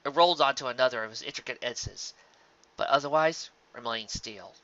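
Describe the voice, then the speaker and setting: Amateur audiobook narrator, mid-pitched and forceful, measured dramatic delivery, dull lo-fi sound. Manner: measured, occasionally stumbling over words. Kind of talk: scripted fan fiction narration, dramatic reading.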